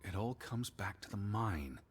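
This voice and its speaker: An actor playing a weary, skeptical 1920s American naturalist in a video game, speaking in a measured, dry, reflective way. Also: male voice, fairly low pitch, lively intonation, breathy edge, full character voice. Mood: somber musing.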